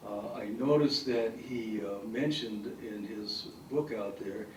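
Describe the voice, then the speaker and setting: A retired professor in his later years speaks with a raspy, hoarse voice. He rambles through stories full of fillers. The sound is echoey and comes from across the room. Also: lowish voice, slow pace, far from the mic, noisy background. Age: older. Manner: rambling anecdotes with frequent fillers. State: hoarse from bronchitis. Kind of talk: speaking at a farewell event. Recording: echoey hall recording from afar.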